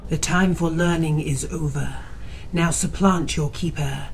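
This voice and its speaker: An actor playing a cold, commanding elder of a demonic order who speaks in a breathy whisper. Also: medium pitch, steady tone, a little slow, slight background noise, lightly acted.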